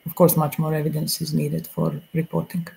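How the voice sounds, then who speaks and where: Croatian woman in her forties, hesitant video-call panelist, flat delivery. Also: sits low, normal speed, gravelly, slightly rough, poor audio. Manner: hesitant, many fillers and restarts. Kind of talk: panel discussion.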